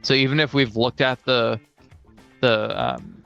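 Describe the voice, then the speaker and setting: A man's voice: mid-range voice, fairly even intonation, measured pace, creaky, a bit bright, clear, resonant tone, muffled recording, soft background music. A man chatting casually as a tabletop game player, explaining his thoughts in a considered way. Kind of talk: casual chat.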